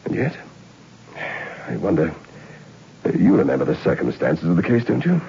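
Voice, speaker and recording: A man's voice: low-pitched, wildly swooping pitch, moderate pace, vocal fry, dark tone, full character voice. An actor playing a stern male prison governor, an army major, speaking in a clipped, commanding way in a 1960s radio drama. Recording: dull lo-fi old radio recording with faint hiss.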